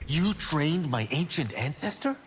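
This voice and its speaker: A man's voice: a little high, very animated, measured pace, husky, heavily acted. An actor playing a proud, eager young warrior monk in a fighting game, respectful and earnest in his formal address. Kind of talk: scripted fighting game dialogue. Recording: long reverb with ambient noise.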